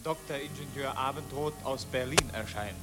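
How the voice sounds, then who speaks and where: An actor as a sober narrator, reading stage directions in a radio drama. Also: male voice, medium pitch, moderate pace, over loud music, lightly acted.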